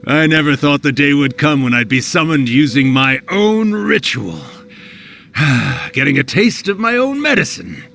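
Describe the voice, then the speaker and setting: Gravelly scheming ghost, slow and theatrical, swoops around a lot. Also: male voice, on the high side, very animated, music in the background, heavily acted. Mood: rueful. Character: scheming summoned spirit of a male author.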